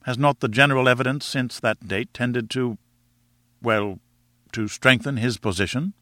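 A man's voice: medium pitch, moderate pace, a bit bright, no room sound, natural voice. A man voicing a nervous but cheeky journalist in an audiobook, posing as an earnest student with a polite, fawning, hesitant manner. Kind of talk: audiobook character acting.

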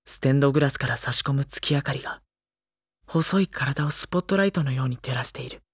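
A man playing a sensitive, anxious high-school boy who narrates and speaks in a dramatic, introspective way. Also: fast, husky, no room sound, studio quality. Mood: hushed dread. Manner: earnest, introspective. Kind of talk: dramatic first-person narration and dialogue. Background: music.

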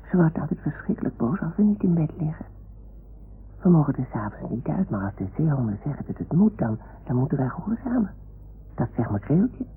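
A woman playing a small woolly toy dog in an old radio play, excitable and confiding, with childlike, theatrical earnestness. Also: lowish voice, gravelly. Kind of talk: 1960s-70s radio play acting. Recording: tinny, noisy old radio recording.

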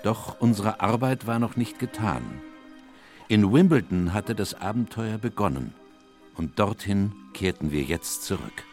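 Actor as a deep-voiced, reflective doctor narrator. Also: male voice, darkish tone, soft background music, lightly acted. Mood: calm, descriptive. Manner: measured, literary. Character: sober, reflective gentleman doctor narrating his memoir. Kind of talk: radio play scripted narration.

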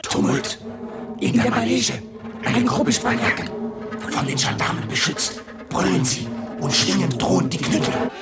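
Radio drama narrator, male, urgent: highly animated, slightly high voice, clipped vivid phrases, loud music behind. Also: measured pace, heavily acted. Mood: urgent, dramatic. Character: urgent male narrator of violent political tumult. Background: prominent music.

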